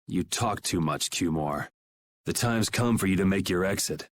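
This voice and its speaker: A man playing a cool, grim young vigilante swordsman in a video game, terse and unhurried. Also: deep voice, close to an everyday voice. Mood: cold menace.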